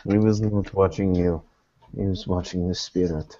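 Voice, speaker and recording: A man speaking in character in a tabletop roleplay, with formal, measured wording, on muffled low-fidelity audio. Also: fairly even intonation, very noisy. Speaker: game master playing a character in a tabletop roleplay.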